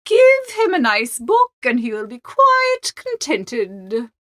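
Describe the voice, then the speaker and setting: A woman playing a high, swooping, imperious society matron. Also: huge pitch swings, a bit bright, no room sound, clean studio sound, full character voice. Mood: self-assured, airy. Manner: grand, brisk, presumptuous. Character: imperious, self-satisfied older English society mother. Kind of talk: dramatised audiobook character dialogue.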